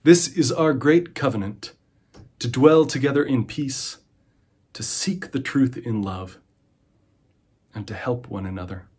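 A man leads a liturgical recitation. His voice is middle-pitched and husky, and he moves slowly through the words. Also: darkish tone. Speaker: covenant leader.

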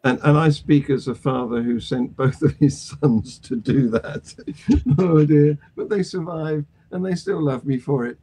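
An older British man leads a news discussion on a video call. His voice sits a little high for a man and has a soft, mellow tone. He phrases things deliberately and conversationally. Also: a little hiss or hum. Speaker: panel discussion host. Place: small attic room at home.

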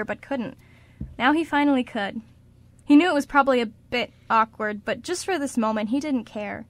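A woman reads scripted story narration for a radio play. Her voice sits a little high, and the sound is dry with no room on it. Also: no room sound.

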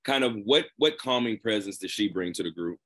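A man speaks as a sports reporter with a fairly low voice. He asks questions casually, in a loose conversational way, at a press conference. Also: expressive, brisk, fairly bright tone.

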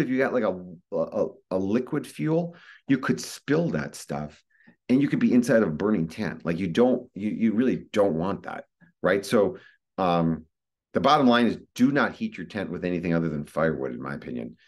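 Man, middle-aged, American accent, lowish pitch, conversational webinar explainer, repeats himself for emphasis. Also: a little mellow. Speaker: guest expert giving a presentation. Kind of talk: informal explanatory webinar talk. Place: indoor room, video call. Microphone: video call microphone.

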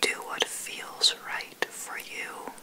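Whispering woman guiding slow sleep meditation. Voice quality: breathy whisper. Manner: soothing, gentle, unhurried with long pauses. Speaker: meditation guide, ASMR host. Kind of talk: ASMR guided sleep meditation.

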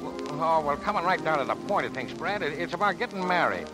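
An actor playing a pushy, cocky showbiz hustler, wheedling and stammering in slangy 1930s radio comedy style. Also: male voice, on the high side, animated, fast, over music, natural voice. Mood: flustered. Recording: old 1930s radio broadcast.

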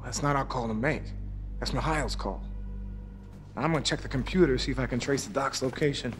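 A businesslike operative, played by a man in a video game cutscene. His voice is mid-pitched, and he speaks in a matter-of-fact way with a wry edge, as a loyal partner. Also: brisk, faint music behind, close to an everyday voice.

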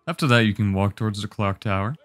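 A young man with a fairly low voice narrates a game guide. He explains each step in an easygoing, instructive way, casual and unhurried. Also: no room sound, faint music behind.

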